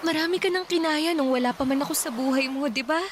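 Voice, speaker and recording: A woman's voice: high-pitched, fast, slight background noise, close to an everyday voice. An actress playing a devoted young student girlfriend in an emotional, earnest Tagalog radio drama style. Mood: tender, concerned. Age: young adult. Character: Minerva, devoted young student girlfriend, hurt yet supportive.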